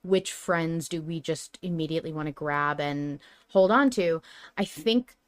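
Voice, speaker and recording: A woman's voice: sits low, measured pace, no echo. A woman hosting a podcast, talking casually and emphatically as a fan with strong opinions. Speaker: podcast host discussing an audio drama.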